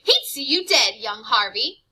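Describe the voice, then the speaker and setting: A sly, wiry tempter creature coaxes and hisses with quiet menace, as a woman reading aloud puts him on. His voice is lifted above the reader's own and sharp-edged, chanting as it tempts. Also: fairly high pitch, very animated, unhurried, husky, full character voice. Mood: coaxing menace. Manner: hissing, coaxing, chanting.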